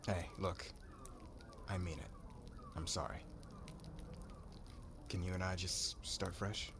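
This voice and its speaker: A man's voice: deep voice, steady tone, slow pace, creaky, noisy background, heavily acted. A man playing a cocky, flirtatious warrior commander in an ASMR roleplay, with a brash, teasing way of talking. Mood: subdued, sincere. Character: cocky, flirtatious warrior commander, crude suitor turning contrite.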